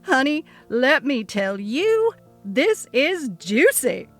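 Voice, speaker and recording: A sassy office gossip acted out by a woman from a dramatic story reading. She is emphatic and indignant, pushed higher in pitch and louder, over faint music. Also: very animated, slow, full character voice. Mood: gleeful, gossipy.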